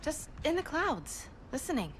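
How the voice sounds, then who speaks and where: Young superhero girl, high voice, earnest and impatient, takes her time, game cutscene. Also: sing-song, a little slow, very noisy. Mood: sullen. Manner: earnest, frustrated. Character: restless, idealistic young alien superheroine, impatient. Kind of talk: dramatic video game cutscene acting.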